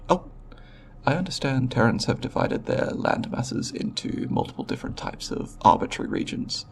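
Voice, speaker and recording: Man voicing nervous scholarly alien researcher, ASMR roleplay, very gravelly fry, hesitant formal speech, polite curiosity, faint background noise. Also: medium pitch, huge pitch swings, exaggerated voice. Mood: puzzled, inquiring. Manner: hesitant, halting, formal scientific wording. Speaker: roleplay voice performer.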